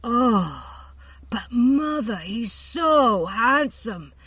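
An eager, awestruck young princess, as a woman storyteller puts her on, stammering and gushing in a high voice that leaps around. She sounds a little off-mic in a dry space. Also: very animated, extremely slow, a bit bright, husky, no echo, distant mic, full character voice.

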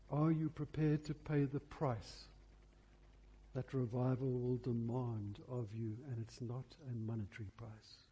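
A man preaching a revival sermon earnestly and deliberately, pressing his questions with repeated emphasis. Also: mid-range voice, dark tone. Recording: husky, very reverberant church hall sound.

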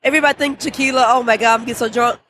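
A woman's voice: fairly high pitch, fairly even intonation, rapid-fire, background noise. A woman chatting casually about drinks, gushing and laughing often. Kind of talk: casual tasting chat.

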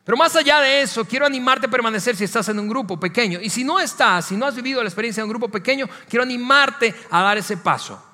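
A man's voice: extremely high pitch, fast talker, hardly any pauses, sharp tone. A man with a Venezuelan Spanish accent gives a conversational sermon as a pastor, speaking emphatically and repeating key phrases. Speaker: church pastor. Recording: long hall reverb.

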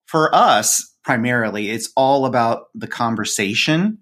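A man's voice: mid-range voice, lively intonation, a little slow, fairly bright tone, slight background noise. A man with a mid-range voice talks as a guest podcaster in a casual interview. He is informal and wry, joking as he explains. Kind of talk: casual conversational interview chat.

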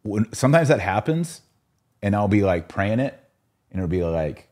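A man's voice: lowish voice, big pitch swings, normal speed, a little mellow. An American man hosting a podcast in casual, informal chat, reading out and answering listener comments. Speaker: podcast host.

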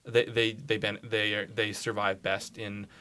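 A man's voice: fairly low pitch, little pitch movement, a little slow, sharp tone. A man chatting casually and emphatically as a podcast guest, rambling through opinions with some swearing. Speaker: podcast guest, comics blogger.

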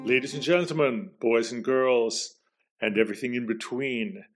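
A middle-aged man from New York with an American accent, a native English speaker, hosting a solo podcast in a slow, reflective way with pauses and fillers. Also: mid-range voice, music in the background. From New York, USA. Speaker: podcast host.

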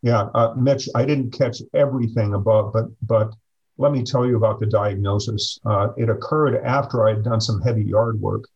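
A middle-aged man speaking slowly and reflectively as a guest on a video call, with frequent fillers. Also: fairly low pitch, fairly even intonation, lo-fi sound. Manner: slow, measured, hesitant with many fillers. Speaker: interview guest, district superintendent. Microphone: video call webcam.

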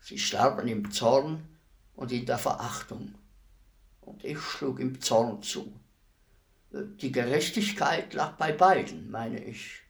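An actor playing a world-weary, philosophical executioner in a radio play, speaking in a deliberate, brooding, respectful way. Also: male voice, medium pitch, gravelly. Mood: brooding, intense. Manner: deliberate, brooding, formally addressing a lady. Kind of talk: radio play acting, slow reflective monologue.

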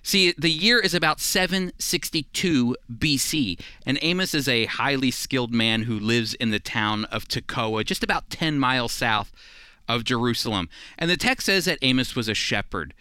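Male Bible teacher, forceful and earnest delivery, very dry close sound. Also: mid-range voice, moderate pace, clear, resonant tone, no echo. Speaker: Bible teacher and radio co-host. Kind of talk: scripted radio Bible teaching.

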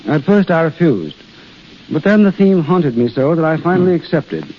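A man with a fairly high voice speaks in careful, formal sentences as a radio guest writer. His delivery is measured and scripted. The vintage 1930s recording is dull, with faint noise. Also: expressive. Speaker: playwright and screenwriter guest. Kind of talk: prepared radio interview remarks. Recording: muffled noisy 1930s radio.

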